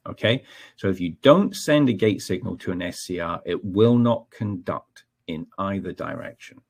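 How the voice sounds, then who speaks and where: A Scottish man walks through a technical webinar in an unhurried, explanatory way, pausing on ums and checking his listeners follow. His voice sits low with a gravelly, slightly husky grain. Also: lively intonation. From Scotland. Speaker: technical trainer.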